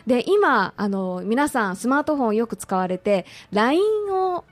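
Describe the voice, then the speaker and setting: A woman's voice: on the high side, big pitch swings, moderate pace, no room sound. A woman talking conversationally as a radio guest, politely and expressively sharing personal recollections.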